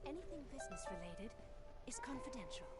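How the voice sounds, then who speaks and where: A poised, commanding woman warrior from an anime game. Her voice is high with lively swings, formal and imperious, set in game audio under loud music. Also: fairly quick. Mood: composed. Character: poised, commanding female warrior of regal bearing. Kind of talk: anime video game voice acting.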